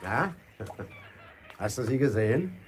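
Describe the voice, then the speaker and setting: Casting: male actor as taunting grown man for radio drama, condescending, lively intonation, slight ambient noise behind. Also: medium pitch, a little slow, close to an everyday voice. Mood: amused, dismissive. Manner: condescending, mocking. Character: smug, taunting adult birdwatcher, condescending to youngsters. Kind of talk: German radio play acting.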